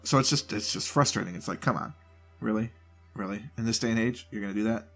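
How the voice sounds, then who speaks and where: A man's voice: on the low side, fast, fairly bright tone, soft background music. Stuffed-up man rambling through an update. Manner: rambling, loose, self-interrupting. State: stuffed up with a cold. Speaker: gaming channel host. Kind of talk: casual solo channel update. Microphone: home desk mic, voice only.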